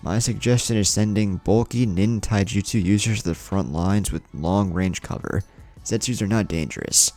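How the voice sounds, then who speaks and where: A man voicing a stern, commanding female ninja leader in an audiobook, with a brisk, authoritative manner. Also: on the low side, darkish tone, faint music behind, lightly acted. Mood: businesslike.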